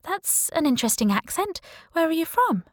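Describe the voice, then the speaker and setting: A woman's voice: very high voice, big pitch swings, moderate pace, no room sound, studio quality, pitched above the performer's own voice. A woman voicing a smiley, polite, flirty female intelligence officer making small talk in an audiobook. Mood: friendly, curious. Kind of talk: audiobook character dialogue.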